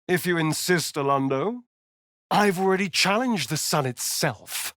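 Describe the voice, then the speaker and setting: An actor playing a sardonic, vain vampire spawn rogue in a video game, with a theatrical, drawling British delivery. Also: male voice, a little high, expressive, measured pace, fairly bright tone, no echo, studio quality. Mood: arch, amused. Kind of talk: video game character voice lines.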